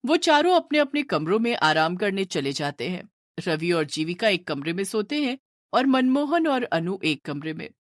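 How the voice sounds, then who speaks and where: A woman's voice: medium pitch, sing-song, moderate pace, dry recording, clean studio sound. A woman narrating a scripted horror story.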